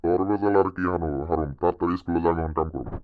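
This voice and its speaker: A man's voice: low voice, fairly even intonation, racing, no echo, narrow, tinny audio, lightly acted. A man voicing a stingy, scolding uncle in a rhyming comic cartoon. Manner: scolding, rhyming back-and-forth delivery. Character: stingy, scolding uncle worn down by household money troubles.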